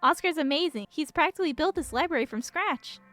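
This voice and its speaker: A protective small-town dad from a visual novel, read by a woman. He worries over his teenager in a fairly high voice, with music playing behind. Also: lively intonation, a little fast, bright, forceful tone. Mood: admiring, wistful. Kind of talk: visual novel character reading.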